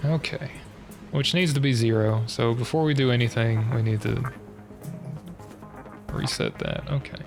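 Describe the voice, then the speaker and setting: Stuffy-nosed man with a gravelly voice. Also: mid-range voice, moderate pace, long pauses, over music. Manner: hesitant, muttering, working through logic step by step. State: stuffy nose, a bit nasal. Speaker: streamer. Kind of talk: thinking aloud while live-streaming a puzzle game.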